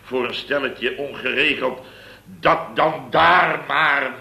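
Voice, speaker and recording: An actor playing a blustering middle-aged contractor boss who rambles through an exasperated tale, in 1960s radio comedy acting. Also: male voice, medium pitch, takes it slowly, vocal fry, pushed and bright, reverberant, full character voice. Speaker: construction firm boss.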